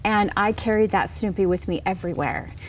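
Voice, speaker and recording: A woman's voice: mid-range voice, fairly quick, a little hiss or hum, poor audio. A middle-aged woman speaking as an author guest in a TV interview, earnest and reassuring as she tells personal stories. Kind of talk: conversational TV interview, personal storytelling.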